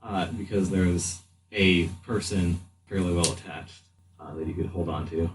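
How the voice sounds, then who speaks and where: A man narrating a tabletop game as game master, often pausing mid-sentence as he describes the action. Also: low voice, steady tone. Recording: echoey room, distant mic, noise.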